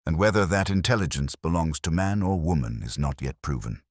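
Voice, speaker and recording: A man voicing a calm, incisive consulting detective in a mystery audiobook, with a crisp, deliberate manner. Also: a bass voice, no echo, studio quality.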